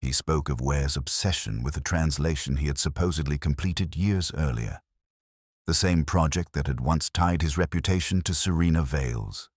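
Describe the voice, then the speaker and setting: Brooding, world-weary inspector, played by a man, measured and reflective literary narration, extremely low voice, dry close recording. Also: darkish tone, slightly rough, no echo. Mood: somber, reflective. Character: brooding, world-weary English police inspector recounting a case. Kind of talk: literary first-person scripted narration.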